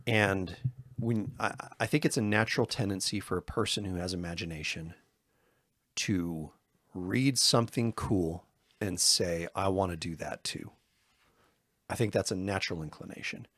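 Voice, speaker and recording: A man with a deep, husky voice co-hosts a casual podcast, wandering through his thoughts and cracking jokes at his own expense. The sound is dry and close, with no room around him. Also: moderate pace, a bit bright, dry recording. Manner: loose and rambling, with self-deprecating jokes. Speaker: podcast co-host.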